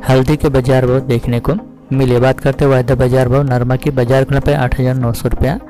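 Guy reading market rates, mellow soft-edged tone, same pattern each line, faint music behind. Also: mid-range voice, flat delivery, a little fast. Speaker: host of a market price update channel. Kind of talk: scripted narration of market prices.

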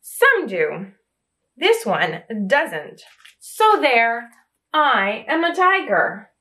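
A woman's voice: fairly high pitch, sing-song, drawn-out words, pushed and bright, full character voice. A woman doing a stubborn little mouse who thinks it's a tiger. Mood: insistent.

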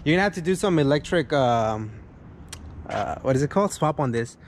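A man's voice: a little high, expressive, brisk, a crowd in the background. A man hosting a car video, chatting casually and enthusiastically outdoors. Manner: enthusiastic, laughs often. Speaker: video host. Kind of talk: casual chat. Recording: outdoor street noise.

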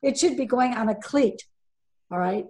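A woman's voice: fairly high pitch, moderate pace, a little mellow. Emphatic older woman teaching on a video call. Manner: emphatic, repeats key words, quizzes the audience. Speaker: sailing instructor presenting a webinar. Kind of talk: webinar presentation with slides.

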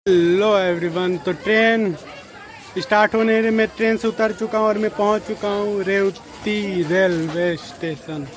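A man narrates a casual travel vlog in halting, repetitive short phrases. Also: sits high, fairly even intonation, a crowd in the background. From Uttar Pradesh, India. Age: 20s. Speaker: travel vlogger. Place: outdoor railway platforms and inside a train. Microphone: phone at arm's length. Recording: loud railway station and train noise.

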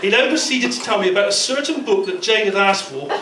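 A middle-aged man delivering a prepared, humorous best man speech at a wedding reception, telling anecdotes with measured pauses. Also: high voice, lively intonation. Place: indoor function room at a wedding reception. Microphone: handheld mic through a PA speaker, filmed from across the room. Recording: distant, echoey, very noisy room recording. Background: loud room noise and guests.

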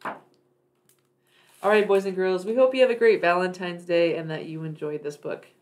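A woman's voice: on the low side, steady tone, measured pace. A woman reading a children's picture book aloud expressively, with emphatic, dramatic phrasing for young listeners. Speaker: read-aloud storyteller for children.

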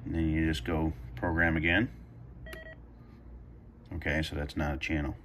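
Low-voiced man giving a casual tutorial. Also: little pitch movement, moderate pace, a bit bright, slight background noise. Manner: hesitant, thinking aloud while pressing buttons. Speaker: video host demonstrating a radio scanner. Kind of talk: casual tutorial talk, improvised. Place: carpeted room indoors at home.

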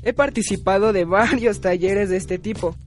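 A man acting as a smug fourteen-year-old know-it-all in a radio drama. He talks pompously in a high boyish voice over faint music. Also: moderate pace, close to an everyday voice. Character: smug fourteen-year-old top student, conservative and sarcastic.

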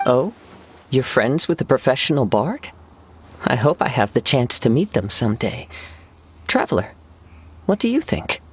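An actor playing a gentle, poetic young wandering samurai in a video game, measured and formal in his words. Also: mid-range voice, sing-song, a little hiss or hum, natural voice. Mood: musing. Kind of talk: video game cutscene dialogue.

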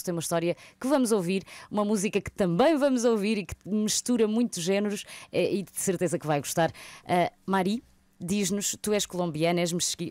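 A young adult woman with a European Portuguese accent hosting a casual radio interview, lively and playful and quick to laugh. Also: medium pitch, dry recording. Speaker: radio host. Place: radio studio. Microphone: studio mic with headphones.

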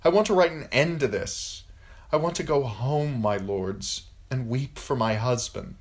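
Fantasy audiobook part: male reader as grieving noblewoman, impassioned, earnest, resolute, lifted pitch. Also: expressive, unhurried. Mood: impassioned longing. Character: grieving noblewoman, mother and widow, pleading, resolute. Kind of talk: audiobook fantasy character acting.

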